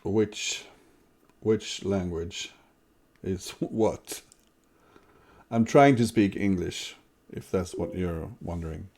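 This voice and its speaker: Swedish-accented male chess streamer, deep-ish mellow voice, muses dryly over moves. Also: slow pace. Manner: thinking aloud over moves, wry asides. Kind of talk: live-streamed chess commentary.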